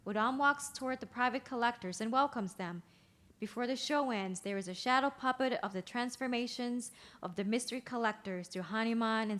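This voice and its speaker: A woman's voice: a little high, fairly bright tone. A woman reading scripted narration and stage directions from a play.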